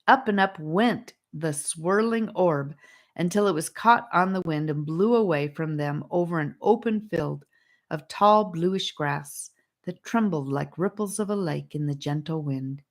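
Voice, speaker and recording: Woman narrator, low voice, unhurried storybook read. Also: expressive. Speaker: audiobook narrator.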